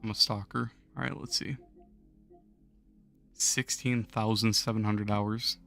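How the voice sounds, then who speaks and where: A hoarse young man losing his voice, chatting casually with viewers on a gaming livestream and chuckling often. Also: fairly low pitch, level tone, measured pace, a bit bright, soft background music. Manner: laid-back, chuckles while talking to chat.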